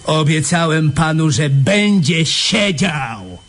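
Slow, sardonic police lieutenant. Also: male voice, a little high, lively intonation, a bit bright, full character voice. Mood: mocking, smug. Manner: slow, mock-sympathetic, drawn-out phrases. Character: sardonic male police lieutenant, mockingly polite and stubborn. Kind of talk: radio drama acting.

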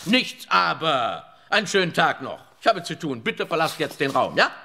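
A high, sharp-voiced haughty count for a children's audio drama. His pitch leaps wildly as he scolds, pompous, curt and dismissive. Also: sing-song, moderate pace, vocal fry, exaggerated voice. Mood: dismissive, indignant. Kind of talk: children's radio play acting.

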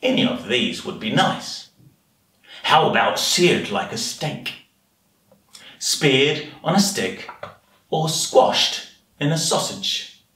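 A man's voice: fairly high pitch, lively intonation, takes it slowly, pauses often, bright, forceful tone, voice pushed up, heavily acted. A man voicing a hungry, fussy, scheming anteater from a children's storybook, theatrically relishing each word. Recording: roomy, slightly distant home recording.

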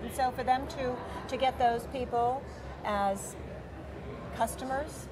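A woman's voice: high-pitched, takes it slowly. Chatty New woman, expo organizer. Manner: talkative, many fillers, gestures while talking. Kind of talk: interview answers. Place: large convention center hall, indoors. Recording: busy convention hall crowd noise. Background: crowd chatter.

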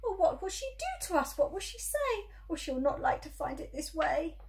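A fussy, frightened pet fish from a storybook, acted out by a woman, scolds in a high voice. The pitch leaps about as it repeats its urgent warnings. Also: sing-song, moderate pace, gravelly, a little mellow, exaggerated voice. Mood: panicked.

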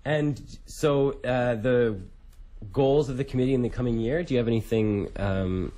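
A man's voice: medium pitch, normal speed, dark tone. A young man co-hosting a radio show, asking rambling, informal interview questions. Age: young adult. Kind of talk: casual interview. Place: radio studio.

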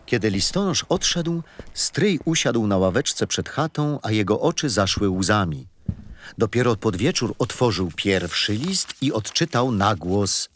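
An actor playing a patient, gentle grandfather telling children a history story in a radio play. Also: lowish voice, big pitch swings, measured pace, slight background noise. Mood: storytelling. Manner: gentle, didactic.

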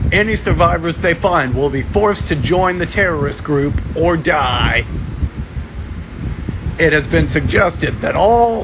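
High-pitched man reading mock emergency alerts. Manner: formal, deliberate, stumbles and repeats phrases. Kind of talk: amateur mock emergency broadcast announcement. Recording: dull lo-fi sound with loud ambient hum.

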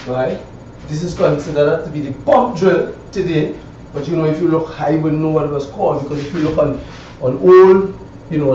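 A man's voice: a little high, dark tone. A man with a Caribbean accent giving a casual hands-on woodworking demonstration, rambling and repeating phrases with frequent checking tags. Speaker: woodworker demonstrating hand tools. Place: small cluttered indoor woodwork shop. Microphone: camera a few metres away. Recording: echoey room, distant mic, dull, faint noise.

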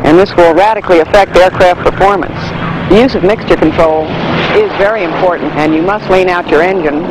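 A man's voice: sits high, noisy background. A man giving an instructional explanation as a local aviation expert, on 1960s film sound.

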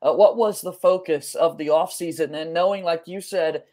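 A man's voice: on the high side, fairly even intonation, moderate pace, mellow. A man in his thirties hosting a sports podcast in an eager, enthusiastic way from a small room at home. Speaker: podcast host.

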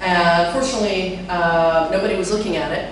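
A middle-aged woman with a deep, low voice speaks at a live conference, chatty and loose, with plenty of fillers and questions for the crowd. She talks into a handheld mic, but the recording catches her from a distance in a very echoey hall. A faint hum of room noise sits under her voice. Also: steady tone, fairly quick. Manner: chatty, many fillers, asks the audience questions. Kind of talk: live tech talk to an audience. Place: large hall with a projector screen.

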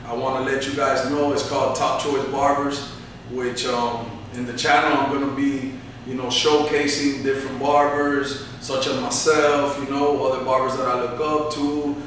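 Earnest barber in an echoey shop. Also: male voice, mid-range voice, fairly bright tone, a little hiss or hum. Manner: earnest motivational, many "you know" fillers. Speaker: barbershop owner. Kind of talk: sit-down interview. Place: barbershop, indoors. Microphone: camera mic from across the room. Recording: echoey room, mic far off.

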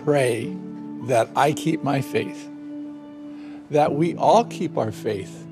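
Slow, solemn old biblical man. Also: mid-range voice. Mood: solemn, resolute. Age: older. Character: devout old biblical man, steadfast and resigned. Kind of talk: dramatic film acting. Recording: music behind the voice.

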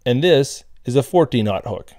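A man giving a scripted voice-over tutorial on fishing gear, explaining in a plain, steady way. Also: mid-range voice, measured pace, dry recording, studio quality. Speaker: fishing instructor.

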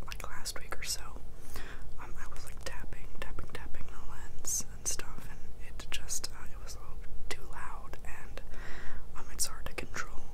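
A man's voice: moderate pace, low-quality recording. Young man whispering a casual ASMR ramble. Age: 20s. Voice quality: whispered, unvoiced. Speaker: ASMR video host. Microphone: close mic, face near the camera.

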